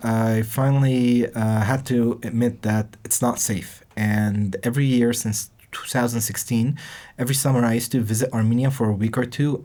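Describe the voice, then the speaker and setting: A podcast guest from Lebanon, telling the story of a move in a measured, orderly way. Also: medium pitch, warm tone. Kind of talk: podcast interview.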